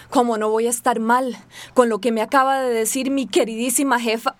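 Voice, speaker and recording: A woman's voice: on the high side, flat delivery, moderate pace, no room sound, lightly acted. An actress playing a headstrong, hardworking mother in a radio soap, with an emotional, dramatic delivery. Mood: irritated, urgent. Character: headstrong, hardworking migrant mother, aggrieved and stubborn. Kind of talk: Spanish-language radio soap acting.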